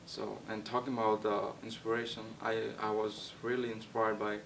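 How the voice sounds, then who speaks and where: A young man from Nepal, a guest actor, answering interview questions in a halting way with many fillers and restarts. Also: flat delivery, vocal fry. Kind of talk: casual interview. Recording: distant mic with faint rumble.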